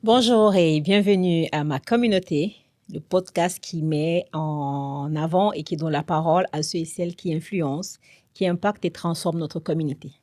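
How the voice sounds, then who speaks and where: A woman's voice: sits low, normal speed, dark tone, no room sound. A woman hosting a podcast, putting her guest at ease in a friendly, reassuring way. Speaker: podcast host. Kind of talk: casual interview.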